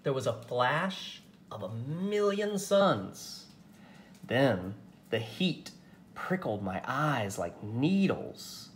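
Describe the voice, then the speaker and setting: A man's voice: medium pitch, sing-song, very slow, echoey room, slight background noise. A man voicing an eager, stubborn young schoolgirl while reading a picture book aloud. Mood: hushed, earnest.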